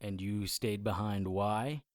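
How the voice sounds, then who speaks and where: A man's voice: lowish voice, steady tone, takes it slowly. An actor playing a tough, wisecracking monster hunter, narrating his own story in a dry noir style for an audio drama. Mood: wry. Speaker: lead actor narrating in first person. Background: music bed under the voice.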